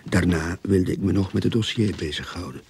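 An actor playing a reluctant, put-upon underling in a radio play, dry and pointed, who also narrates. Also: male voice, low-pitched, brisk, vocal fry, a little mellow, a little hiss or hum. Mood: narrating. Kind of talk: radio play acting with first-person narration.

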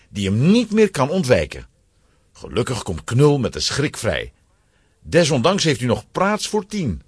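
A man's voice: mid-range voice, husky, no room sound, clean studio sound. A man narrating a children's audio drama from a script, as a storyteller.

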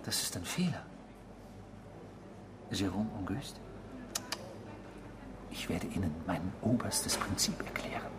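A man's voice: fairly low pitch, background noise, heavily acted. A male actor in a German radio drama playing an intrusive, obsessive stranger who talks insistently and theatrically. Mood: hushed, menacing. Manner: insistent, theatrical, probing, swinging from hushed to emphatic.

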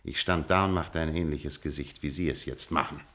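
A low-pitched gentleman gangster, a suave lord of old Scottish stock who has become a crime boss. He speaks with aristocratic understatement and dry irony, coolly menacing, in the manner of 1960s radio drama. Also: steady tone, a little fast. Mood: dryly amused. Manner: aristocratic, dryly ironic, understated. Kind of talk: 1960s German radio play acting.

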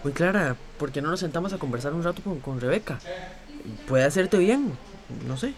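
An actor playing a caring, eager young friend in a radio drama, with a Costa Rican accent and an earnest, persuasive manner. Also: male voice, a little high, expressive, normal speed, close to an everyday voice.

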